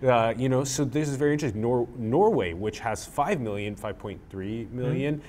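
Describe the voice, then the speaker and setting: A man in his thirties hosting an interview, talking in a rambling, animated way with lots of "like", on a lapel mic in a small room. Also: mid-range voice, normal speed, slight background noise. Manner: rambling, animated, uses "like" a lot. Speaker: podcast host. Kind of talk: casual interview chat. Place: small plain room indoors.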